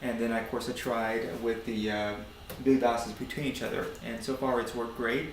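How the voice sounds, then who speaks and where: An American man giving a casual DIY demonstration of a hobby project, speaking in a hesitant, halting, informal way. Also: mid-range voice, little pitch movement, slight background noise. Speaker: hobbyist maker presenting a project.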